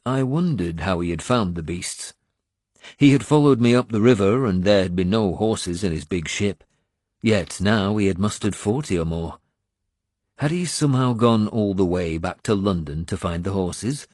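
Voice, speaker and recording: A man narrating first-person historical fiction as a scripted audiobook, with measured, dramatic storytelling. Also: low-pitched, lively intonation, a little mellow, dry recording, clean studio sound.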